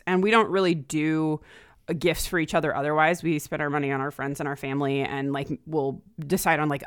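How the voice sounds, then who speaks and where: A woman's voice: sits low, a bit bright, smooth, dry recording, studio quality. A woman chatting casually and talkatively as a guest co-host on a podcast, sprinkling her speech with "like". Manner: chatty, laughs while talking, often says "like".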